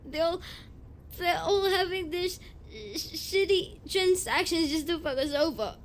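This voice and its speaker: Casting: young tsundere delinquent heiress played by a woman, emotional and halting, intimate audio drama monologue, audible noise behind. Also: very high voice. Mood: crying, angry. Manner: emotional, halting, swears freely.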